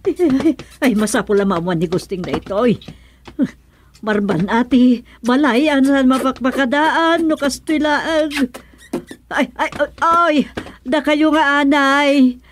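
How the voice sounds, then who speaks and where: A woman's voice: high-pitched, measured pace, slight background noise. A woman playing a fretful housewife in a comedic radio drama, talking to herself in a sighing, pleading manner.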